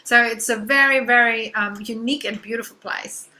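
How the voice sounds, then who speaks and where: German-accented woman in her thirties, guest coach on a video call; piercingly bright, slightly high voice, eager and filler-heavy. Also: fairly even intonation, normal speed, low-quality recording. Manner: enthusiastic, many fillers like "um" and "you know". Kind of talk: casual video-call interview.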